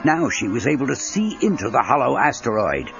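A man's voice: mid-range voice, big pitch swings, fairly quick, vocal fry, a bit bright. A man narrating a children's adventure story in a scripted, dramatic style. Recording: dull cassette with music underneath. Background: background music.

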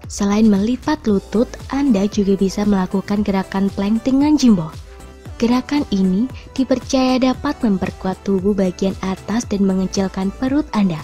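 A woman reading scripted instructional narration in a measured, clearly enunciated way. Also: medium pitch, mellow. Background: background music.

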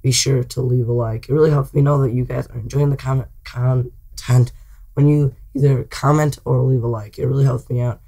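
A teenage boy hoarsely vlogging to camera from his bedroom, rambling with lots of restarts and fillers. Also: mid-range voice, fairly even intonation, a little fast, dark tone, low-quality recording. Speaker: channel host. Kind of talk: casual vlog talk to camera. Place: small cluttered bedroom, indoors. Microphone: desk mic in front of him.

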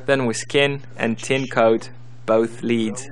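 Male tutorial narrator, mid-pitched, reading scripted instructional material. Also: fairly even intonation, slow pace.

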